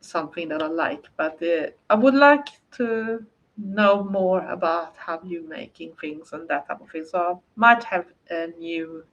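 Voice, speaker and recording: Swedish lady livestreaming, english not her first language, kinda low voice, lots of uh and restarts. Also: unhurried, a bit bright, highs cut off. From Sweden. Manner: rambling, hesitant, many fillers and restarts. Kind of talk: casual livestream chat, answering viewer comments.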